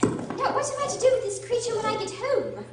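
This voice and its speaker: A woman playing a polite, curious and earnest little girl with a prim, expressive delivery in a storybook radio drama. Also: extremely high pitch, fairly quick, slight background noise, heavily acted. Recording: very echoey room, distant mic.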